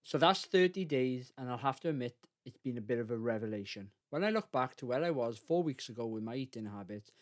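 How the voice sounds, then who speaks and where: A man talking through his own vlog, moving between a read voice-over and loose diary-style chat. He sounds candid and reflective, with a wry, self-deprecating streak, at an ordinary middle pitch for a man. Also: animated, fairly quick, hardly any pauses. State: congested from a cold. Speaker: vlogger. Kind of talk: mix of scripted voice-over narration and casual diary-style talk.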